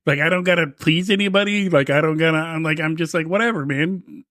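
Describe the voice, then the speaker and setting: A man's voice: a little high, brisk, fairly bright tone, dry recording, studio quality. A man in his fifties co-hosting a podcast, talking in a laid-back, rambling way, swearing often and restarting his sentences. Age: 50s. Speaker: podcast co-host. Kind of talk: casual chat.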